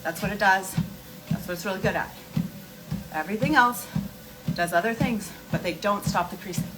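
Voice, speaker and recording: Emphatic woman chatting over loud music. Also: medium pitch, normal speed, low-quality recording. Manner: emphatic, repeats key points, uses rhetorical questions. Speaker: skincare and wellness livestream host. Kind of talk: casual explanatory livestream chat, answering viewer questions.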